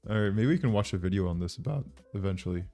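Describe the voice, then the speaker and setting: Male livestream host, French accent, lower register, informal and rambling, faint music underneath. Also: vocal fry, dark tone. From France. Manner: informal, rambling, thinking aloud. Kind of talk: casual livestream chat with viewers.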